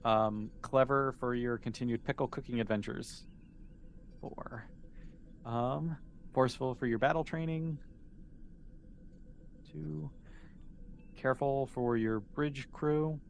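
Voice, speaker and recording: A man's voice: mid-range voice, slow, creaky, long pauses. Man running a tabletop game, noisy recording. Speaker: game master. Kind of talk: casual narration of a tabletop roleplaying game. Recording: noticeable background noise.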